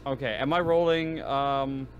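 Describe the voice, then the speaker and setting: A man playing a tabletop game, chatting casually in an animated, sweary way. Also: medium pitch, unhurried, highs cut off, slight background noise. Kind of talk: casual game chat over online session.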